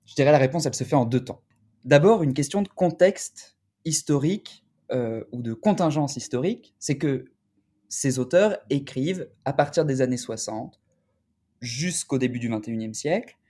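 A man speaking as an academic researcher in an interview, explaining in a deliberate, halting, scholarly way. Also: mid-range voice, expressive, warm tone.

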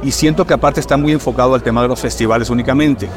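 Fast-talking middle-aged man, mixed accent. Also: medium pitch, fairly even intonation, over music. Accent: mixed Caribbean and Mexican Spanish. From Puerto Rico. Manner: chatty, storytelling, fillers like "este". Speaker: film director, podcast guest. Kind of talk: casual conversation.

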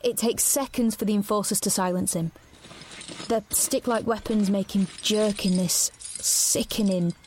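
A woman's voice: mid-range voice, a little mellow, natural voice. Nervy, watchful young teen played by a woman, hesitant and earnest, dystopian radio drama, noisy ambience. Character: anxious, watchful thirteen-year-old narrator in a dystopia. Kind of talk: first-person audio drama narration and dialogue.

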